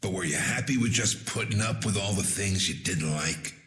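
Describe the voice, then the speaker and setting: An actor playing a gruff, shrewd old guild leader in a video game, gravelly and blunt, with a folksy turn of phrase. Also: male voice, low voice, normal speed, a bit bright. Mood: blunt, commanding. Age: older. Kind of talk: dramatic video game voice acting.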